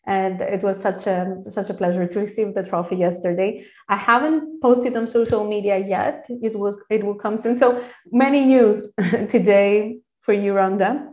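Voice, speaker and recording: A woman whose first language is Greek talks quickly and eagerly, stumbling and restarting as she goes. Her voice sits mid-range and rings with echo from the room around her. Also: medium pitch, fairly even intonation, darkish tone. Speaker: guest, founder of a counselling service. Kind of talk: podcast interview.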